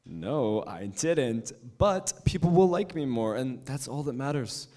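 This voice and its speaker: A man's voice: mid-range voice, very animated, measured pace, dark tone. A young man reading as a smug teen king. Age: young adult. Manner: cocky, mocking. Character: smug, arrogant teen boy class king. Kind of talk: staged reading.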